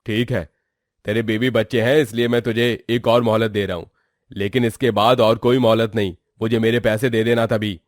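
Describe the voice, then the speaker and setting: A man's voice: fairly low pitch, fairly even intonation, normal speed, no room sound, studio quality, lightly acted. A man voicing a stern, indignant friend demanding repayment in a moral story. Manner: indignant, firm. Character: stern male friend demanding his loan back.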